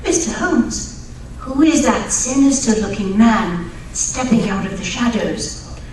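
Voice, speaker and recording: A woman's voice: a little high, expressive, takes it slowly, a little mellow. A woman playing a plucky, knowledgeable Victorian lady in a live old-time radio drama, proper and earnest. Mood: hushed alarm. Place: live stage performance at radio club event. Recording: very echoey hall, distant mic, faint noise.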